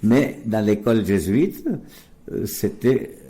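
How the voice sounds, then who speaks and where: A man's voice: lowish voice, slow, mellow. An older man from India, a Gujarati speaker, answering interview questions in a hesitant way full of fillers and restarts. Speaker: guest, deacon. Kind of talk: radio interview.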